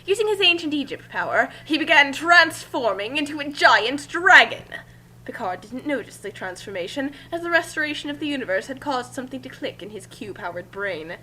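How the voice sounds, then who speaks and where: Bright-voiced woman narrating a story. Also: sits high, sing-song, normal speed, slight background noise, poor audio. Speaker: narrator and commentator of a fan fiction reading. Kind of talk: amateur story narration with joking asides.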